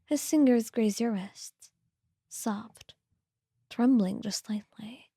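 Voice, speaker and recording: A woman reading scripted ASMR narration in a soft, breathy whisper, with a gentle, hushed storytelling manner. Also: a little high, takes it slowly, dry recording, clean studio sound.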